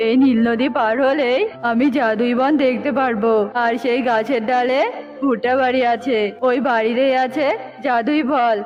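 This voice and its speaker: A woman's voice: on the high side, steady tone, normal speed, darkish tone, close to an everyday voice. A woman voicing a brave, devoted young bird in a cartoon, earnest and resolute. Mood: hopeful. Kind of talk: cartoon dubbing. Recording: dull lo-fi audio with music bed. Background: music.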